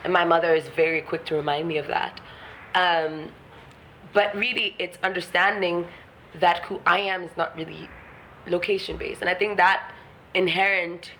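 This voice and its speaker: A woman's voice: on the low side, fairly even intonation, normal speed, sharp tone, a little hiss or hum, poor audio. A woman from Ghana talking frankly and reflectively in an interview, laughing often and swearing casually. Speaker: guest artist.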